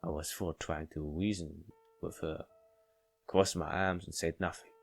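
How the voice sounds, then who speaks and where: A man's voice: low voice, steady tone, measured pace, vocal fry, faint music behind. A man reading a visual novel aloud and commenting on it, stumbling over words now and then. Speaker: video game player reading aloud.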